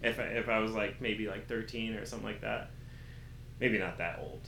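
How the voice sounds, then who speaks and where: Male interview guest, bright forceful voice, candid slangy storyteller, roomy echo. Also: lowish voice, fairly quick, vocal fry. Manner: animated storytelling with acted-out quotes and slang. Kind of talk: casual candid conversation. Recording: roomy echo with faint background noise.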